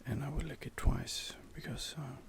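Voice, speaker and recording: A man whispering softly and hesitantly as he muses through gameplay commentary for ASMR, close to the microphone. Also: mid-range voice, normal speed. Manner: soft, hesitant, musing. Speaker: ASMR gamer.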